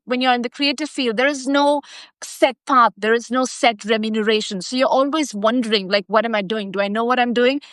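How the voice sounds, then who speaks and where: A woman's voice: fairly high pitch, a bit bright, dry recording. A woman with an Indian accent telling her personal story to viewers as a live stream host, speaking emphatically and repeating key phrases for stress.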